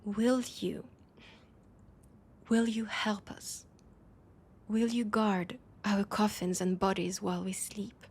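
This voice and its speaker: Woman voicing a battle-scarred female vampire leader, French accent, breathy husky tone, halting and intimate, proud yet desperate, audio roleplay. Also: mid-range voice, little pitch movement, darkish tone. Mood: pleading, ashamed. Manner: hesitant, halting, breathy asides dropping into whispers.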